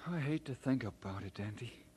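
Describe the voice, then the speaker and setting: Man acting an earnest young Scottish immigrant, animated delivery. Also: mid-range voice, moderate pace, slight background noise, close to an everyday voice. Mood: weary, discouraged. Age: young adult. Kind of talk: old radio drama acting.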